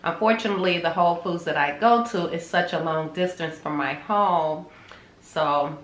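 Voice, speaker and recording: A woman's voice: fairly low pitch, normal speed, fairly bright tone, roomy sound, low-quality recording. A woman with a cold, sounding congested, chatting casually to her vlog viewers in a rambling way with lots of ums. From Chicago. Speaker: vlogger. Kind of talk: casual chat to camera.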